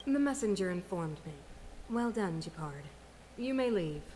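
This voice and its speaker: An actress playing a composed, commanding middle-aged female leader, speaking in a measured, regal manner in a game cutscene. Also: on the low side, expressive, mellow, close to an everyday voice. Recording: long hall reverb, faint noise.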